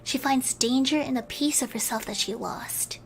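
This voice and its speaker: High-voiced woman reading softly. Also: normal speed, faint music behind, low-quality recording. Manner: soft-spoken, sometimes whispering. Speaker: streamer. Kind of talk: soft ASMR story reading.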